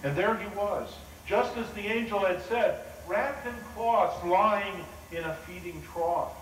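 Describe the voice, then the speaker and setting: Awed shepherd, slow and wondering, played by a man, in an echoing church, heard from afar. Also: high voice, a bit bright, slight background noise. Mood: awed storytelling. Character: humble shepherd recounting the angels' visit. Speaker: pastor. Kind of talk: first-person dramatic sermon monologue. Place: large church sanctuary. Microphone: far video camera. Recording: roomy echoing church, distant camera.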